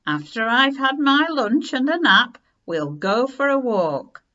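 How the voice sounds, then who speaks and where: A woman voicing a kindly grandmother in a children's storybook, speaking in a measured, emphatic way. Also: sits high, sing-song, pushed and bright, highs cut off, voice pushed up, exaggerated voice.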